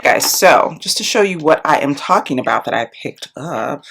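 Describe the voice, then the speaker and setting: A woman's voice: sits low, animated, moderate pace, vocal fry, heavy background noise. A congested woman in her thirties, chatting casually to camera at home while doing her makeup, rambling with lots of ums and likes. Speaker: beauty and book vlogger. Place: small room at home, indoors. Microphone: camera close up, face framing.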